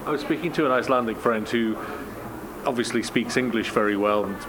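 A man hosting a radio interview, asking measured questions that often end in tag questions. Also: mid-range voice. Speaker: radio host. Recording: noticeable background noise.

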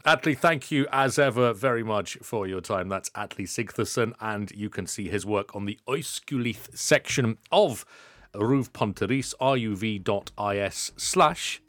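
A man's voice: fairly low pitch, lively intonation, unhurried, a bit bright, dry recording, clean studio sound. A man hosting a podcast in a casual, explanatory way, often checking points with tag questions. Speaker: podcast host. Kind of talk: casual educational news discussion.

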